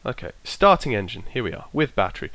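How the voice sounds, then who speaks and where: A man with a bit of a cold, his voice croaky, casually talking himself through an aircraft checklist and trailing off as he goes. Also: mid-range voice, very animated, quick pace, a bit bright, no room sound. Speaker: flight sim streamer. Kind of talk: casual narrated walkthrough.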